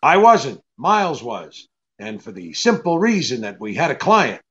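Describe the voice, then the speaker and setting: An actor playing a wary, hard-boiled private detective, curt and sardonic, in 1940s radio drama style. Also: male voice, a little high, sing-song, unhurried, gravelly, a bit bright, highs cut off, exaggerated voice. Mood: irritated, defiant.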